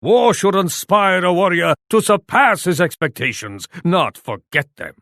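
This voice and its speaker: An actor playing a proud, imperious Japanese warlord in a video game, speaking formally. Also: male voice, high voice, animated, unhurried, fairly bright tone, dry recording, studio quality, heavily acted. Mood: haughty. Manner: imperious, formal, speaks of himself in third person. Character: proud, haughty Japanese warlord commanding his armies. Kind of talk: video game character voice lines.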